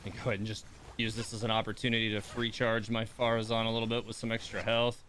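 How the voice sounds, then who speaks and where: A man's voice: on the low side, completely flat delivery, fast, fairly bright tone, a little hiss or hum. A man livestreaming a game, thinking aloud in casual commentary and muttering complaints and coaching to himself.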